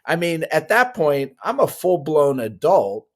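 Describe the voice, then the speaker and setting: A man hosting an interview podcast, reacting quickly and encouragingly to his guest. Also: a little high, big pitch swings. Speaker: podcast host. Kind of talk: casual interview.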